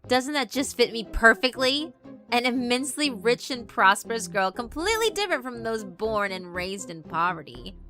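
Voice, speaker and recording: A woman's voice: a little high, big pitch swings, normal speed, bright, forceful tone, soft background music, pitched above the performer's own voice. A woman voicing a pompous, boastful schoolgirl who melodramatically plays a princess in a horror visual novel reading. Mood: haughty, boastful. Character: haughty, bragging, theatrical young schoolgirl playing princess.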